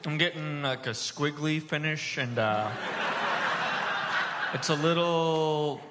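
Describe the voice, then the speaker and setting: A man with a somewhat high voice plays up a pompous, mock-serious connoisseur in a live comedy bit. His voice rings through a hall with very loud noise behind it. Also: takes it slowly. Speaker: comic resident cheese expert. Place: stage before a live audience.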